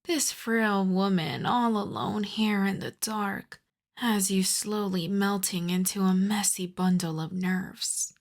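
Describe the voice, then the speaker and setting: A woman playing a teasing, imperious older vampire countess in an ASMR roleplay, speaking deliberately and theatrically, with touches of breathy whisper. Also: medium pitch. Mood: teasing, coaxing.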